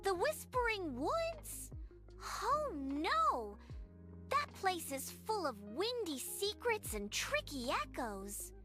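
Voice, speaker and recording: A woman's voice: very high voice, big pitch swings, slow pace, sharp tone, faint music behind, pitched above the performer's own voice, heavily acted. A woman voicing a tiny, timid, sad little fairy girl in a bedtime story, shy and plaintive. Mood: nervous. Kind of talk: children's bedtime storybook dialogue.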